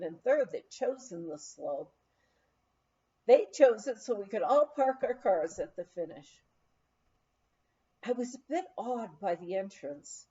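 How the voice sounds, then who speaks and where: A woman reading a memoir aloud in an expressive, measured storytelling manner. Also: on the high side. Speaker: audiobook reader. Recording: dull, lo-fi sound.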